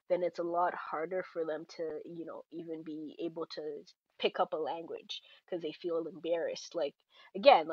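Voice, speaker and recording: A woman's voice: lowish voice, dry recording. Woman from Zimbabwe, chatty, muffled sound. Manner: chatty, many fillers, speaks reflectively. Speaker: podcast guest. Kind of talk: casual conversation.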